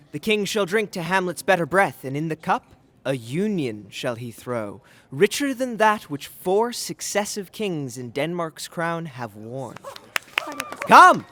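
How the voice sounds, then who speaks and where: A man's voice: fairly high pitch, big pitch swings, unhurried, bright, forceful tone, heavily acted. A man acting a scheming, ceremonious king in a Shakespearean audio drama, with grand proclaiming delivery. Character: scheming king, commanding, ceremonious, outwardly genial.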